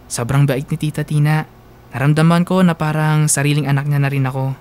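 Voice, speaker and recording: A woman's voice: sits low, steady tone, fairly quick, darkish tone, close to an everyday voice. A young woman playing a fond storyteller who narrates her love story sincerely in a confiding first-person radio drama. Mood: fond, reflective.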